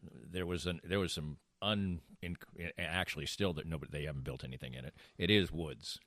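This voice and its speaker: Older guy podcast host w a low voice, rambly stories, keeps restarting sentences, really dry studio sound. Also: a little fast, a bit bright, no room sound. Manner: rambling storyteller, restarts sentences mid-thought. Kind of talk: casual banter and anecdotes.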